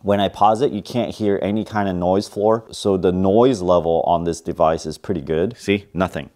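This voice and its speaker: A man's voice: low voice, dark tone. A middle-aged man narrating a product review in a plain, matter-of-fact way, often listing features in clipped phrases. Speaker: tech reviewer.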